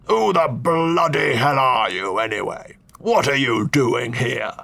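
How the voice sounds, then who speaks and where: A man voicing a cantankerous elderly male duke who blusters and scolds in slow, gruff bursts. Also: mid-range voice, lively intonation, pushed and bright, voice pushed up, exaggerated voice. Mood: indignant. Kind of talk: audiobook character voice.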